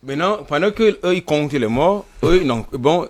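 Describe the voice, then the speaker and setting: A man speaking as a panelist in a televised debate, measured, repeating words as he builds his point. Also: on the high side, lively intonation.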